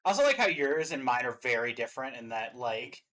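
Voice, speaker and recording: A man's voice: medium pitch, expressive, brisk, fairly bright tone. A man chatting casually as the host of a reaction show.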